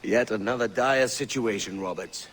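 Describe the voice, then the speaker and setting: A male actor plays a roguish young pirate captain in a video game. He talks tersely in a fairly low voice, wry and sure of himself, with faint ambience behind him. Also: normal speed, a bit bright, a little hiss or hum, natural voice. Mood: wry, confident. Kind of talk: video game cutscene acting.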